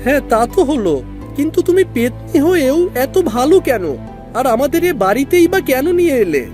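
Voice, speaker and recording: A woman's voice: sits high, sing-song, brisk, over music. A woman voicing a polite, earnest young boy, respectful and full of wonder, in a children's cartoon.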